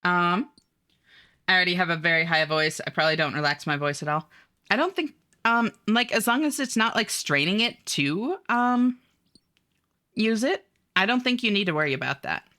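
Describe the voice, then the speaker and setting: A woman's voice: on the low side, animated, moderate pace, sharp tone. A woman streaming a game, chatting casually and upbeat with her viewers, full of "like" and "um" and the odd swear. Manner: reads viewer messages aloud. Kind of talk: casual live-stream chat, answering viewers.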